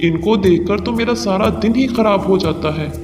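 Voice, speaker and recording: A domineering cartoon father bird, acted by a man, pitched high for a male voice. He talks in a harsh, boastful, scolding way, in a long hall-like reverb with music clearly behind him. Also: normal speed, natural voice. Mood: irritated, scornful. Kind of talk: Hindi cartoon voice acting.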